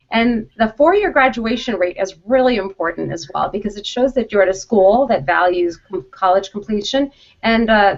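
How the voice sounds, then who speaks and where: A woman giving an instructional presentation to students, explaining things in an emphatic, directive way. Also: mid-range voice. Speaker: college program vice president, presenter. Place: conference call. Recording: dull conference call audio.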